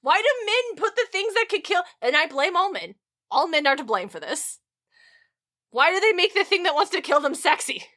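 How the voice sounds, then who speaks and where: A woman co-hosting a podcast in a casual comedic discussion, animated and opinionated. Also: very high voice, moderate pace. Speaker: podcast co-host.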